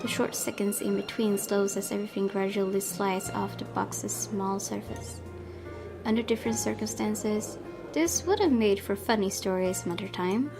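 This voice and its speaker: A woman reading a visual novel aloud as a let's play streamer, in expressive narration mixed with casual commentary. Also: mid-range voice, moderate pace. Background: game music.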